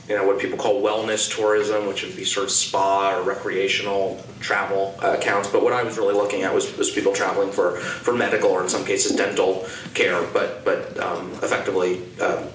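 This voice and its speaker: A man's voice: fairly low pitch, fairly bright tone, recorded from a distance, a little hiss or hum. A man giving a talk at a law school event, carefully defining his terms. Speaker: author speaking at a talk. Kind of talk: public talk. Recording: roomy sound from off the mic.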